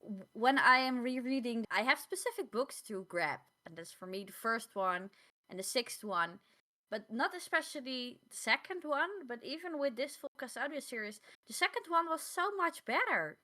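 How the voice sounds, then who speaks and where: A woman co-hosting a podcast in casual, halting discussion, repeating phrases for emphasis. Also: fairly high pitch, animated, bright, forceful tone. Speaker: podcast co-host.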